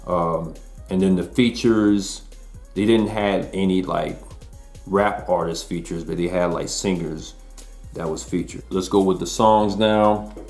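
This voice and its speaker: Host, male, 40s, deep low voice, easygoing slangy delivery, faint beats underneath. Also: fairly even intonation, unhurried, darkish tone, faint music behind. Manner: laid-back slangy talk to viewers. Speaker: album reviewer. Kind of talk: casual music review.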